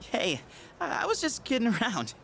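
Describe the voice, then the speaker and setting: A man's voice: extremely high pitch, huge pitch swings, measured pace, gravelly, sharp tone, faint music behind, heavily acted. An actor voicing a cocky, goofy but earnest teenage boy in a video game, casual and sometimes awkward or theatrically boastful. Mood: sheepish, playful. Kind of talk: English video game voice acting.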